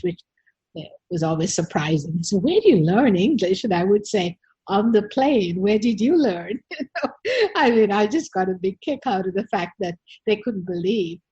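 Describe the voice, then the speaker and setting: An older woman from Sri Lanka with a low, mellow voice and a husky edge. She tells stories in a relaxed, chatty way, full of "you know" and "um". The sound is dry and a little dull. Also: no room sound. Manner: storytelling, frequent fillers. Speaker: guest. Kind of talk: conversational interview.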